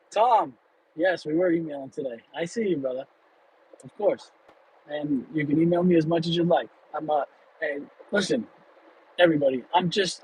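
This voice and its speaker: A man in his late thirties hosts an off-the-cuff livestream from behind the wheel, answering viewers candidly and rambling through restarts. His voice sits a little high for a man. A faint hum of the car in traffic runs underneath. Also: rough recording. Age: 30s. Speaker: livestream host. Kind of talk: casual livestream chat.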